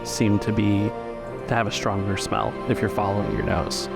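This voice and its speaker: A man working as a game master, narrating a tabletop roleplaying game in a measured, descriptive way. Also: fairly low pitch, little pitch movement, creaky, loud music behind the voice. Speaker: game master and podcast host.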